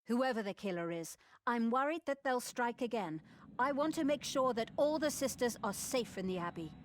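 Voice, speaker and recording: A woman's voice: fairly high pitch, a little hiss or hum, natural voice. A woman voicing a resolute, caring abbess in a video game, speaking with grave authority. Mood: concerned, measured. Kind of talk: scripted video game voice acting.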